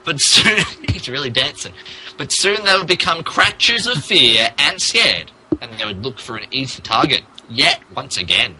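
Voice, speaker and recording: A man's voice: medium pitch, very animated, normal speed, bright, forceful tone, low-quality recording. A man narrating a fan fiction story as a mock-dramatic podcast reading, amused, theatrical and emphatic.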